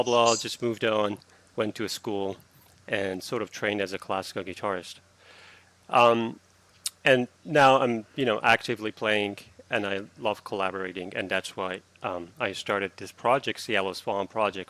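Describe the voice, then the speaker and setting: A man in his thirties from Iran, a classical guitarist answering interview questions earnestly, with halting restarts. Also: lowish voice, fairly bright tone.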